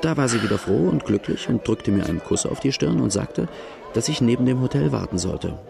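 Very gravelly outlaw narrator. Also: male voice, mid-range voice, lively intonation, brisk, over music. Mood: reflective. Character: male outlaw plainly recounting his hard youth. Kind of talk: first-person narration in German radio drama.

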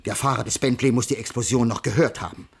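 An actor playing a pedantic, earnest middle-aged ethics teacher with formal, stilted diction in a radio crime play. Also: male voice, mid-range voice, steady tone, fast talker, gravelly, breathy edge. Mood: dramatic, urgent. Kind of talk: 1980s German radio crime play acting.

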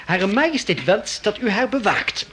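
A man's voice: sits high, big pitch swings, moderate pace, fairly bright tone. A man playing a fussy, stiff and deferential baron in a radio play, pompous and spluttering in manner. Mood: flustered, formal.

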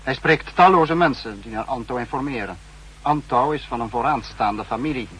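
An actor playing a calculating spy chief, speaking with measured, superior authority in a 1960s radio drama. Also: male voice, mid-range voice, a bit bright, lightly acted. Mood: pondering, grave. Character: calculating, authoritative male spymaster, head of secret service. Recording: faintly noisy 1960s radio recording.

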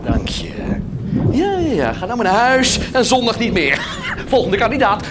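An actor playing a wheedling monk who hawks indulgences with theatrical, cajoling patter in a radio drama. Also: male voice, extremely high pitch, huge pitch swings, normal speed, very noisy, exaggerated voice. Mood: hawking. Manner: theatrical, cajoling sales patter, punctuated with laughs. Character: wheedling monk huckster selling indulgences to peasants.

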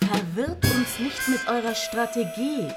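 A woman's voice: on the high side, expressive, a little slow, over loud music. A woman playing a sceptical, imperious backer of a doomsday show in a radio drama.

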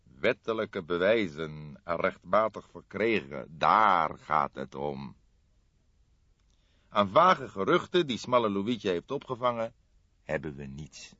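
A man voicing a calm, sombre old police inspector in an audiobook, speaking in a measured, thoughtful way. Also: low-pitched, no room sound, muffled recording, heavily acted. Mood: calm, firm.

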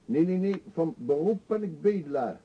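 An actor playing an eccentric, talkative older beggar in a radio drama, pushy and wry, confiding theatrically. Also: male voice, fairly high pitch, lively intonation, moderate pace, husky. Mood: chatty, insistent. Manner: chatty, insistent, theatrically confiding. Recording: dull, muffled old radio play recording.

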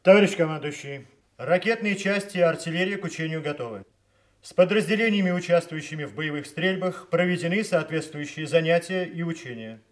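An actor playing a dutiful artillery general, giving a formal report in a Soviet radio play. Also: male voice, on the high side, a little fast, lightly acted. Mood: dutiful, emphatic.

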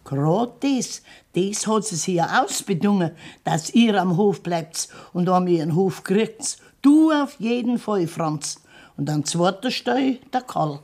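A woman's voice: mid-range voice, animated, slow, a little mellow. An actress playing a stern, pragmatic old farm grandmother with a Bavarian accent in a dialect radio drama, blunt and scolding. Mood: matter-of-fact, insistent. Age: older.

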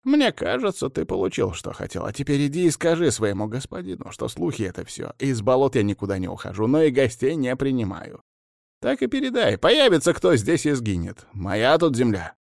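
A man acts out an old witch of the swamps in a fantasy tale. She is stern and defiant, with an imperious way of giving orders. The voice is raised in pitch, with some gravel in it. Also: big pitch swings, a little fast, no room sound, clean studio sound. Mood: commanding, threatening. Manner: commanding, forceful threats.

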